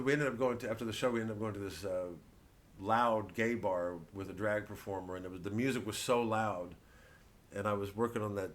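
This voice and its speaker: Male comedian, lowish voice, digressive satirical solo riffing, halting delivery with swearing. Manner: acts out mock quotes and voices. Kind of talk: rambling solo satirical monologue.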